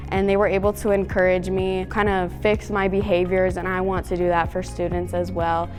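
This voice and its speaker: A woman's voice: lowish voice, completely flat delivery, normal speed, faint music behind. A teenage girl, an eleventh-grade student, talking earnestly and eagerly about her class. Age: teens.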